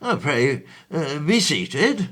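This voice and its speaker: Man as an elderly-mannered 1820s gentleman, bright lively voice, slow and dithering. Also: fairly high pitch, exaggerated voice. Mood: courteous. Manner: hesitant, full of ums and uhs, fussy and grand. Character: distinguished 1820s gentleman, bookish, genial and fussy. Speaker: actor. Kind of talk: amateur stage play audio.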